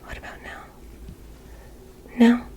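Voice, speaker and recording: A woman playing a curious, gently condescending alien medical examiner in an ASMR roleplay, speaking in a soft, breathy, measured whisper with clinical instructions. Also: lightly acted. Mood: calm, clinical. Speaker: ASMR performer.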